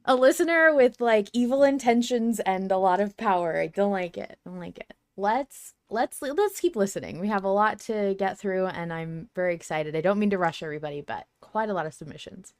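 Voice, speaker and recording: A woman hosting a fan podcast, chatting in an expressive, emphatic way. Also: medium pitch, fairly quick, hardly any pauses, no echo, clean studio sound. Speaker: podcast host. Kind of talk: enthusiastic fan podcast chat.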